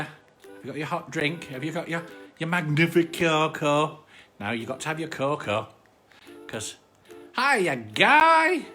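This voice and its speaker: A man's voice: on the high side, pushed and bright, full character voice. A man with a British accent voicing a friendly, chatty armadillo puppet who hosts a cosy children's bedtime story show. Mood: excited, welcoming. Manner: cosy, chatty, repeats phrases. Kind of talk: casual children's livestream chat.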